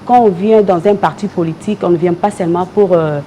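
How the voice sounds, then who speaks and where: A woman from DR Congo with a Congolese French accent, answering as a party member in a political interview in a measured, hesitant way. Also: lowish voice, mellow, slight background noise.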